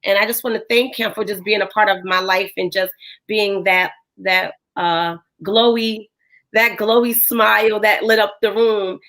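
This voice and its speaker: A woman with a hoarse voice, hosting a podcast in a casual chat full of fillers. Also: mid-range voice, fairly even intonation, measured pace, fairly bright tone. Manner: chatty, filler-heavy. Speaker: podcast host.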